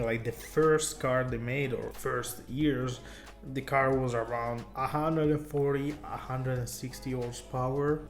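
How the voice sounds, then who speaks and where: A man from Italy with an Italian accent, chatting enthusiastically to camera about cars in loose non-native English. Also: mid-range voice. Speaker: car vlogger. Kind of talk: casual talk to camera.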